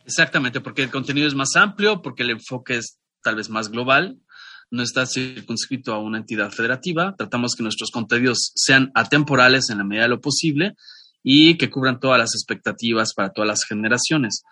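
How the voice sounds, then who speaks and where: Mexican man, podcast co-host, bright tone, dry booth sound, loose chatty delivery. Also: medium pitch, fairly quick, dry recording. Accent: Mexican Spanish. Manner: discursive, with frequent restarts and asides. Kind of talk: casual chat introducing a guest.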